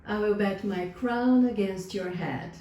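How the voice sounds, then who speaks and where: A woman's voice: mid-range voice, unhurried, warm tone, close to an everyday voice. A woman voicing a proud, trusting king with a formal manner in a folktale. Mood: stately, confident. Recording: distant, roomy sound with faint noise.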